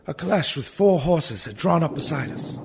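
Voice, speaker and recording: A man playing an earnest, uneasy young lawyer's clerk in a radio play, grave and dramatic, with a gravelly creak. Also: a little high, lively intonation, normal speed. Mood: mounting unease. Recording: noisy background behind voice.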